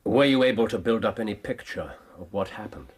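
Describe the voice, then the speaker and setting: An actor playing a calm, probing investigator in a radio drama, with a husky voice. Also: male voice, on the low side, brisk, slight background noise, natural voice.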